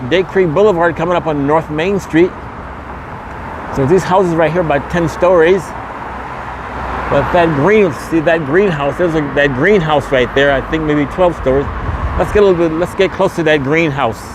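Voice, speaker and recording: Higher-voiced man vlogging over loud street noise. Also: moderate pace. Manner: repetitive, promotional catchphrases. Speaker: vlogger and host. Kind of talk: walking vlog narration. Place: outdoors on a street, walking. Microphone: handheld camera. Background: traffic and vehicle noise.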